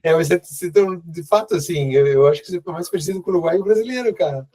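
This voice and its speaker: A man with a Brazilian accent hosting a casual conversation, speaking informally with halting, repeated phrasing. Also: fairly high pitch, mellow, breathy edge. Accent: Brazilian Portuguese. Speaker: host, immigration consultant.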